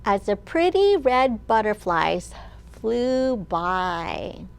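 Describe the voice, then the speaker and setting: A woman children's librarian reading a picture book aloud to young children, sing-song, with questions and pauses for them to answer. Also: mid-range voice, big pitch swings, vocal fry, clear, resonant tone. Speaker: children's librarian and storytime host.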